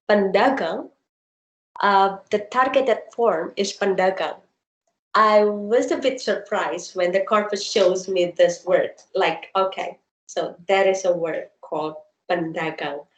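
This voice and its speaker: A woman's voice: mid-range voice, echoey room, rough recording. A woman whose first language is Indonesian, a researcher answering a question about her dissertation on a video call and explaining with examples. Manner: thoughtful, explains with examples, many ums.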